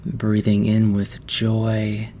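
A man narrating a guided meditation, giving gentle, soothing instructions with long pauses. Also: lowish voice, completely flat delivery, a little hiss or hum. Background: background music.

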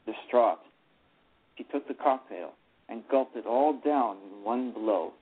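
A man's voice: mid-range voice, slow, breathy edge. A man narrating a live radio play, often stumbling and restarting his words. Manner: reads with frequent stumbles and restarts. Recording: tinny phone-line radio broadcast.

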